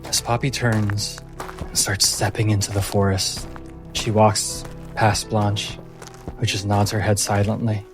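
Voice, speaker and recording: A man's voice: lowish voice, level tone, unhurried, music in the background, rough recording. A man hosting a tabletop roleplaying game as game master. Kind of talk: tabletop game narration and casual recap.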